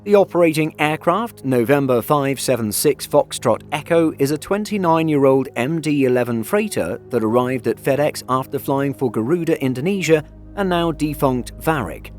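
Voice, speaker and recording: A man narrates a scripted news video with a mid-pitched voice. His delivery is measured and factual, in the manner of an aviation news voice-over, with music playing underneath. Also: animated, darkish tone. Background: background music.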